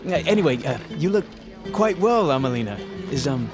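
A man's voice: medium pitch, very animated, over music, natural voice. A man playing a meek, earnest young mountain man in a fantasy audio drama, awkward and stammering. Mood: nervous, smitten. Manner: halting, stammering, hesitant.

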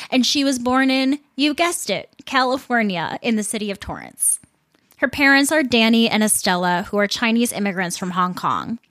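A woman's voice: mid-range voice, a bit bright, no room sound, studio quality. An American woman hosting a podcast, reading scripted narration in an informal, chatty way with wry asides. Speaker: podcast host.

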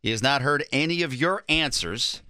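Bright, forward male radio co-host. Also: mid-range voice, animated, moderate pace, no room sound, clean studio sound. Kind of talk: casual radio banter. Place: dry radio studio.

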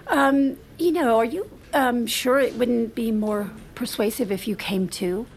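A woman's voice: a little high, takes it slowly, gravelly, a little hiss or hum. An actress playing a flustered, defensive woman in an audio drama, speaking hesitantly and apologetically. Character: Diana, flustered, defensive unhappy working woman. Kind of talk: naturalistic audio drama acting.

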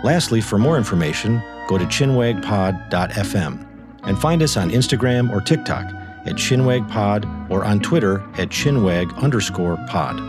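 Guy reading credits, lowish voice, guitar music clearly behind him. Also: animated, moderate pace. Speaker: credits announcer. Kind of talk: scripted credits read.

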